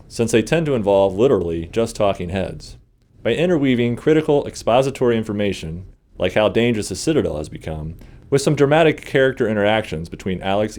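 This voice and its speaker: A man's voice: on the low side, darkish tone. A man reading scripted commentary as a game developer.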